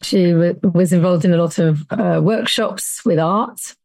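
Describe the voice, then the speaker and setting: A woman interpreter relaying translation in a brisk, matter-of-fact way. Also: lowish voice, gravelly, darkish tone, no room sound.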